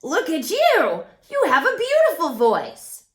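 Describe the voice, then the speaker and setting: A woman puts on a chatty little male crow for a children's storybook. The voice is raspy and high, swooping through admiring, upbeat phrases with stretched-out emphasis. The room is noticeably echoey. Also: wildly swooping pitch, measured pace, heavily acted.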